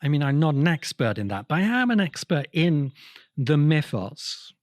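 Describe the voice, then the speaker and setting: A man giving an explanatory lecture in emphatic short phrases with pauses between them. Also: a little high, big pitch swings, no room sound.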